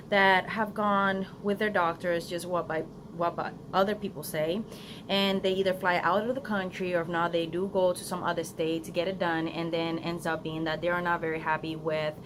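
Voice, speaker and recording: A young woman with a Spanish accent talks straight to her phone outdoors, chatty and earnest, stumbling through ums and restarts as she gives advice. Her voice sits a little low, and steady outdoor noise is clearly heard behind her. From Florida, United States. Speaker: vlogger. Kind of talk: casual unedited vlog.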